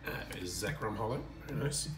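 A man with a hoarse, croaky voice chats casually while opening cards, clearing his throat between halting remarks. His voice sits a little low and sounds set back from the mic in an echoey room. Loud music plays underneath throughout. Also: lively intonation, distant mic. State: croaky, hoarse from screaming at a game. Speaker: card-opening channel host. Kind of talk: casual unboxing commentary. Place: indoor room with collectibles on shelves.

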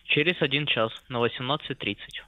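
Casting: a calm, formal male phone operator, mid-pitched, heard over a tinny line. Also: fairly quick, no room sound. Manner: polite, patient, formal. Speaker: call center operator.

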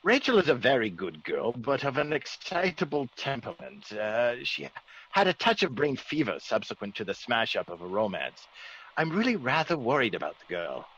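An actor playing a genial, excitable aristocratic country squire, well-bred and blustering, in classic radio drama. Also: male voice, medium pitch, moderate pace. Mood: confiding. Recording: dull, faintly noisy old radio recording.

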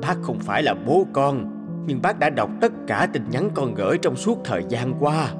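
A kind older man who has lost his only son, talking in a gentle, consoling way with a lively, mid-pitched voice, as a man voices him. He speaks in an animated drama over loud background music. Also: normal speed, lightly acted. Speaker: voice actor.

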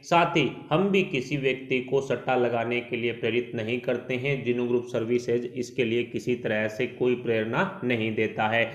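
A man narrating a scripted report in a news style. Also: mid-range voice. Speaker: narrator of an election survey report. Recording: dull, echoey voice-over recording.

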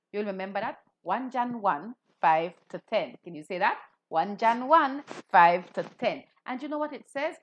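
A woman's voice: a little high, a bit bright, a little hiss or hum. Woman teaching children. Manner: engaging, asks questions, repeats key points for children. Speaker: children's Bible show host, Anglican clergy. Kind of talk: children's religious lesson. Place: small room indoors.

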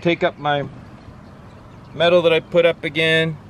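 A man's voice: fairly high pitch, little pitch movement, measured pace, a bit bright, slight background noise. A middle-aged man chatting in a laid-back, joking way while doing roof repairs outdoors. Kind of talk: casual on-camera chat. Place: outdoors on a rooftop.

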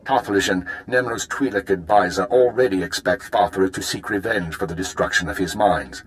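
An actor playing a cool, calculating imperial spymaster in a video game, speaking in a measured, formal, clipped way. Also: male voice, deep voice, lightly acted, poor audio. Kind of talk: video game cutscene acting.

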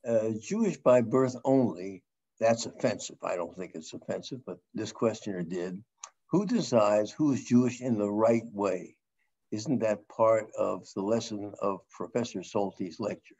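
An older man moderating a video call Q&A, reading out audience questions in a relaxed, conversational way. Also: lowish voice, normal speed, slightly rough. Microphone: video call webcam. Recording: dull lo-fi call audio.

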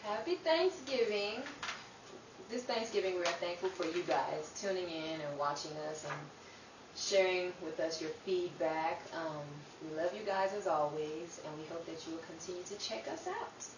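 A woman's voice: on the low side, moderate pace. A woman from a show's cast giving an informal thank-you sign-off to listeners. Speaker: cast member. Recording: roomy, distant, clearly noisy recording.

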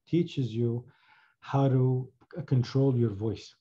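A man's voice: medium pitch, slow, warm tone, lo-fi sound. A man who teaches chant, talking casually in an online class, rambling with many fillers and restarts. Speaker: chanter and instructor.

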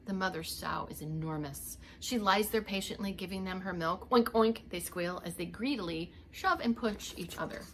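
A woman's voice: lowish voice, moderate pace, few pauses, fairly bright tone, a little hiss or hum. A middle-aged woman reading a picture book aloud as a preschool teacher, expressively, with little asides to young children. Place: indoors, home living room.

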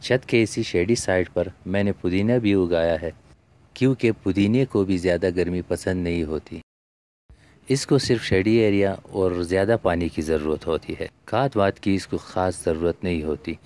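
A man's voice: deep voice, normal speed, darkish tone. A man, narrating a home gardening vlog as its host in a scripted voice-over.

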